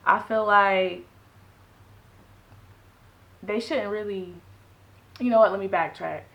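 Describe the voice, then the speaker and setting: Bright-voiced woman chatting animatedly. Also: mid-range voice, gravelly. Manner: animated, quick asides and self-corrections. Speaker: podcast co-host. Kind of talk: casual chat.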